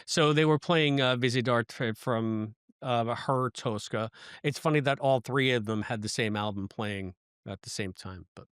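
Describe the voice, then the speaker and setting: A man's voice: medium pitch, a little slow, fairly bright tone, smooth, dry recording, studio quality. A man chatting casually as a podcast co-host, with joking asides.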